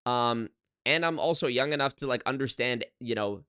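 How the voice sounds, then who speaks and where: A man's voice: mid-range voice, normal speed, bright, forceful tone, smooth, no echo, clean studio sound. A man in his twenties, a YouTuber talking casually on a livestream from his apartment into a desk microphone, emphatic and swearing, often restarting his sentences. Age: 20s. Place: one-bedroom apartment.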